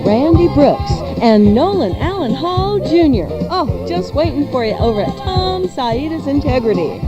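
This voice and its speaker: A woman hosting a radio show and reading ads in a sultry, playful, drawn-out way. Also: high voice, sing-song, dull audio. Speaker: radio show host and ad reader. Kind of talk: live-read radio ad patter. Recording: music behind the voice. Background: music.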